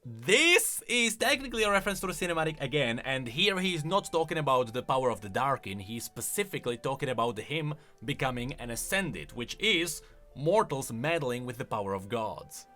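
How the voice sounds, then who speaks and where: A man's voice: medium pitch, sing-song, fairly quick, pushed and bright, faint music behind. A man hosting a video, giving casual, enthusiastic commentary on game lore and explaining as he goes. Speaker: video host.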